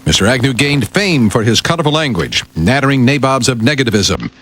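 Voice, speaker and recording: Male morning-show news anchor, medium pitch, lively swings in intonation, emphatic broadcast delivery. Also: fairly quick, slight background noise. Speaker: radio newsreader and on-air sidekick. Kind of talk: broadcast news reading with banter.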